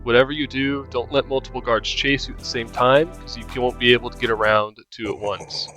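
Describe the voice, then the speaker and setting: A man with a mid-pitched voice narrates a video game guide. His delivery is casual walkthrough commentary, with game music playing faintly behind him. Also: fairly even intonation, brisk, sharp tone.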